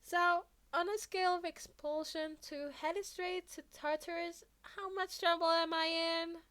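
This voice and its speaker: A woman's voice: extremely high pitch, steady tone, measured pace, bright, forceful tone, voice pushed up, exaggerated voice. A woman reading fan fiction as an earnest, cheeky teenage boy hero student who sounds apologetic yet brazen.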